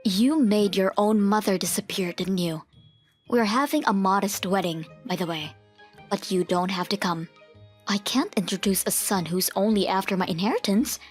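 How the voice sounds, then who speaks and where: A woman playing a firm, principled mother in a manga dub, speaking in a stern, measured, matter-of-fact way. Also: medium pitch, husky, lightly acted. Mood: cold, firm. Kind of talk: manga dub dialogue and first-person narration. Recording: music behind the voice.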